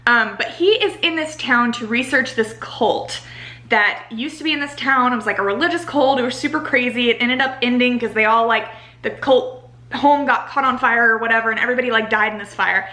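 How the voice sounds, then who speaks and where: A young American woman giving a casual, chatty book review in an animated, exasperated ranting manner, saying "like" a lot. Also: fairly high pitch, fairly quick. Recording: roomy home echo.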